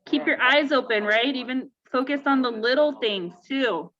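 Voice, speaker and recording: A woman's voice: high voice, normal speed, fairly bright tone, highs cut off. A woman reading a story aloud to young children and talking with them about it.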